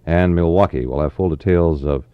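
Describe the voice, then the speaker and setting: A low-pitched American man hosts a 1960s radio show with easygoing patter and folksy asides. The sound is a muffled, roomy AM aircheck from a studio, with his voice carrying some echo. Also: normal speed, clear, resonant tone.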